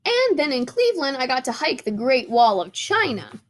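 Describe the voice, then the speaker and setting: A woman teacher reading a picture book aloud in an animated storytelling way. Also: sits high, moderate pace.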